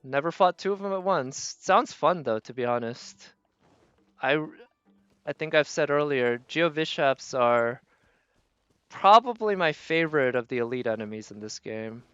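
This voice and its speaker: A man's voice: fairly high pitch, lively intonation, moderate pace, fairly bright tone, smooth, no echo, highs cut off. A man doing casual live game commentary, thinking aloud and trailing off as he goes. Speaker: game streamer.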